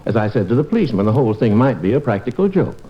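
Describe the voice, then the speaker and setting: A man's voice: mid-range voice, big pitch swings, very fast, natural voice. A man playing a kindly, easygoing small-town doctor and father in an old radio comedy-drama, on a muffled recording. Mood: gentle concern. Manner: gentle, fatherly, conversational.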